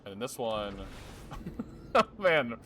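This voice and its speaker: A man's voice: medium pitch, big pitch swings, takes it slowly. A young man casually commenting on his gameplay, thinking aloud, swearing and chuckling often. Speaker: game streamer. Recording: game music behind.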